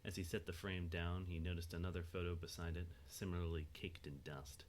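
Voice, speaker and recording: Low-pitched male story narrator. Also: level tone, brisk, slight background noise.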